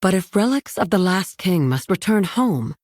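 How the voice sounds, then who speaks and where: An actress playing a dignified high elf noble in a video game, speaking formally and with reverence. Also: female voice, big pitch swings, moderate pace, darkish tone, dry recording, studio quality. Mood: reverent. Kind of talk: dramatic video game voice acting.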